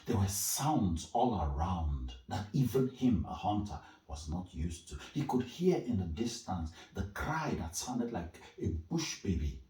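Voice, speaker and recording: Low voiced guy from nigeria telling a folktale, slow n dramatic, echoey and a bit far from the mic. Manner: theatrical, with suspenseful pauses and emphasis. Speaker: storyteller. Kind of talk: dramatic oral storytelling of a folktale. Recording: echoey room, distant from the mic.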